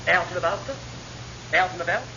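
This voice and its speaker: An actor playing a wily chief petty officer in a vintage radio comedy, spinning dubious tales with glib mock innocence. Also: male voice, sits high, quick pace. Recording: dull, noisy old radio recording.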